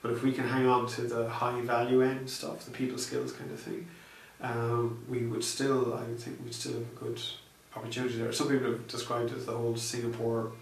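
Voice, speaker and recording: Man, interview answers, halting speech, gravelly monotone, roomy echo. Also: medium pitch. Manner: hesitant, many fillers and restarts. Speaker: interviewee, software researcher. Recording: echoey room, distant mic, faint noise.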